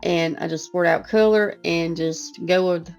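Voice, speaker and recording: Hoarse woman with a gravelly voice. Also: lowish voice, fairly even intonation, unhurried, a little mellow, muffled recording, faint music behind. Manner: casual, chatty, self-deprecating. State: hoarse, losing her voice. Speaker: art teacher. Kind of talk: relaxed painting tutorial voice-over.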